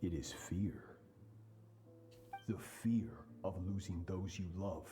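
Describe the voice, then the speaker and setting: An actor playing a cold, merciless sword master in a video game, speaking in a stern, solemn, commanding manner. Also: male voice, low-pitched, slow pace, warm tone. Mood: solemn, ominous. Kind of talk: scripted dramatic video game dialogue.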